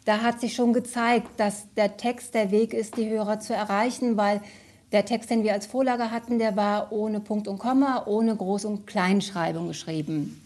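A woman's voice: mid-range voice, fairly even intonation, moderate pace, darkish tone. A woman radio play director giving a reflective interview answer, thoughtful and searching for her words.

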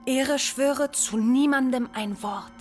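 Casting: wide-eyed cautious schoolgirl, fairly high, questioning and playful, radio drama over faint music. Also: takes it slowly, a little mellow. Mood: playful, earnest. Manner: questioning, childlike.

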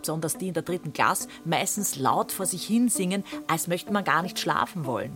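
An actress plays a practical woman dispensing advice in a radio play. Her voice is fairly low and matter-of-fact, with faint music underneath. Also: expressive, moderate pace, lightly acted. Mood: advising. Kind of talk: radio drama character acting.

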